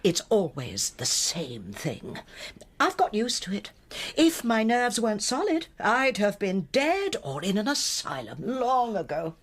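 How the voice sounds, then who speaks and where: An actress playing a genteel, pious, self-pitying elderly wife in a radio drama, with breathy, mannered politeness. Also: female voice, mid-range voice, huge pitch swings, unhurried. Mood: martyred. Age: older.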